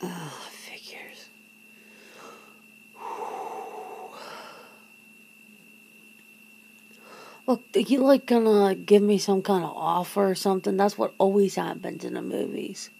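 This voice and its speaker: A woman playing a wary, wry human confronting a vampire lover in an ASMR roleplay, breathy and often slipping into a whisper, hesitant and casual. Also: medium pitch, very slow, long pauses, warm tone. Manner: hesitant, sardonic, casual, sighing. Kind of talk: ASMR roleplay, half-whispered one-sided dialogue. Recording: noticeable background noise and ambience.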